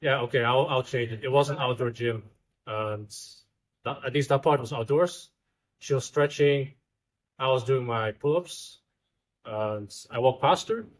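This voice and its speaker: A man's voice: mid-range voice, unhurried. Man, 20s, from the Netherlands, casual podcast guest; easygoing, jokey, a few restarts. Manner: easygoing and joking, with small restarts. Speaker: guest, researcher. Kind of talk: casual chat. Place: outdoors in Bali.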